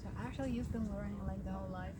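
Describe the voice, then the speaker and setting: A woman's voice: medium pitch, racing, heavy background noise. A woman interviewing a guest, giving short prompts and laughing in a friendly way. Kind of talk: casual interview questions.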